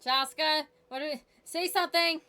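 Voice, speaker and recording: A woman streaming a game with casual reactive commentary, excitable exclamations and blunt asides. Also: sits high, moderate pace. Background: game music and dialogue.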